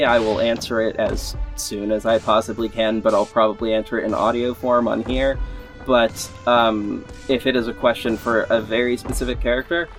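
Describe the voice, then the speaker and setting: A man hosting a podcast in an informal, rambling way, repeating words for emphasis as he gives a casual update. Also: mid-range voice. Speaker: podcast host and audio drama creator. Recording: music playing behind the voice. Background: background music.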